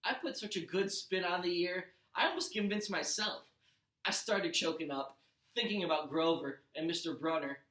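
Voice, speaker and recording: A man's voice: high voice, moderate pace, pushed and bright. A man, a teacher, narrating a first-person storybook aloud with expression. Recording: roomy echo.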